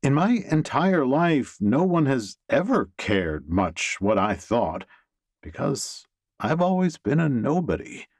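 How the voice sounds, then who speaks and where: A man narrating a first-person novel as an audiobook, expressive and wry. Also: medium pitch, takes it slowly, dry recording, studio quality.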